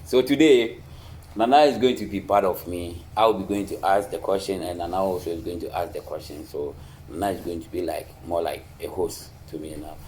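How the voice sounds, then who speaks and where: Deep-voiced man hosting a casual interview. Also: darkish tone, a little hiss or hum, rough recording. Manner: halting, repeats words, short affirming "okay"s. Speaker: interviewer, host.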